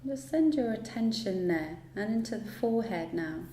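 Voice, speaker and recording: A woman guiding a relaxation meditation in a soothing, unhurried manner, leaving long pauses between instructions. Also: medium pitch, lively intonation, mellow. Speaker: yoga nidra guide. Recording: roomy echo, light background noise.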